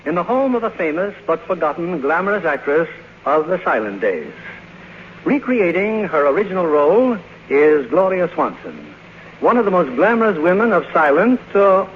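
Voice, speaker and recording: Male 1950s radio theater host, formal showman, fairly high pitch, animated intonation. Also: normal speed. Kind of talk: 1950s radio announcing, scripted introduction. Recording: muffled old radio broadcast, faint hiss.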